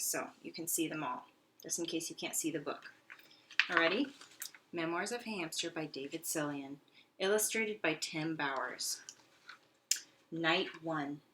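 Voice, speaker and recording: A woman's voice: on the low side, bright, forceful tone. A woman speaking as a teacher in a classroom read-aloud lesson, explaining simply and asking her pupils questions.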